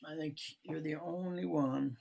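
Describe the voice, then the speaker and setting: A man's voice: a little high, steady tone, a little slow, gravelly, dark tone. A man co-hosting a session, chatting casually and thinking aloud in loose, easygoing phrases. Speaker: workshop co-host and facilitator. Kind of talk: casual chat, planning. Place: online video call.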